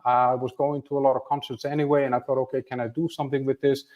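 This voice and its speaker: A man's voice: mid-range voice, little pitch movement, fast, lo-fi sound. Chatty Icelandic-born man in his fifties. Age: 50s. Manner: chatty, self-deprecating, lots of restarts and fillers. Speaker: channel host. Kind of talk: livestream talk to viewers. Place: home room with a flag behind him.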